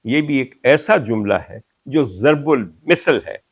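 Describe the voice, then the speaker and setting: An older man from Pakistan with a Pakistani Urdu accent, hosting a talk show and reflecting on a poet, sometimes reciting Punjabi verses slowly and with emphasis. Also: mid-range voice, expressive, husky, dry recording, studio quality. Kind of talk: reflective monologue.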